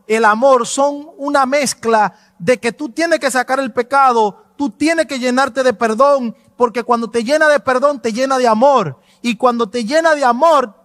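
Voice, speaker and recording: A male pastor delivers a fervent sermon with an unusually high voice and hammering repetitions. The mic sits close and dry, yet a long reverb trails through the church hall. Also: fairly bright tone. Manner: fervent and emphatic, repeats "no, no, no". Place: church sanctuary.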